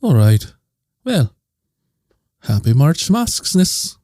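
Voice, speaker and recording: Actor voicing easygoing editor, mellow, expressive, gently teasing, audio drama. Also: medium pitch, slow, no room sound, clean studio sound. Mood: teasing, fond. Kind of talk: scripted audio drama dialogue.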